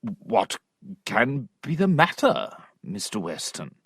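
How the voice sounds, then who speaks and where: A man reading a storybook while voicing a dignified gentleman who speaks in a measured, polite way. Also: mid-range voice, wildly swooping pitch, slightly rough, dry recording, exaggerated voice. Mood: concerned.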